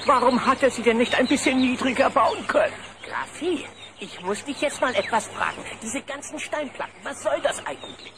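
An actor playing an earnest, flustered bear in a cartoon audio drama, with a pleading manner. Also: male voice, extremely high pitch, lively intonation, moderate pace, long pauses. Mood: worried. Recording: very noisy background.

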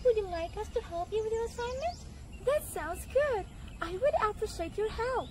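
A woman voicing a cheerful, friendly small animal puppet with a squeaky, cutesy delivery in a scripted educational show. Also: lively intonation, normal speed, noisy background. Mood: earnest. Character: cheerful young female rabbit and mouse puppets, friendly and eager.

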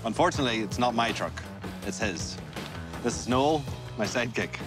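A man's voice: mid-range voice, animated, moderate pace. Man explaining science over music. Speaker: presenter and truck driver. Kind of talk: explaining science to camera and passenger.

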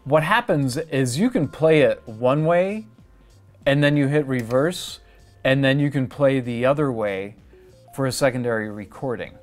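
Middle aged guy walking thru a how-to, medium pitch, plain n methodical. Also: unhurried, soft background music. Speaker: tutorial host. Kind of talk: step-by-step how-to demonstration.

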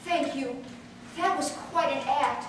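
A woman playing an excitable young heroine in a live 1940s-style radio play, heard from far off in a mansion room. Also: sits high, takes it slowly, heavy reverb, a little hiss or hum. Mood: relieved.

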